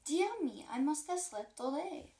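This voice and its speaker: A woman's voice: high voice, sing-song, unhurried, dark tone, roomy sound. A woman voicing a haughty, fussy male dog who makes imperious demands in a children's storybook. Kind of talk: read-aloud children's storybook.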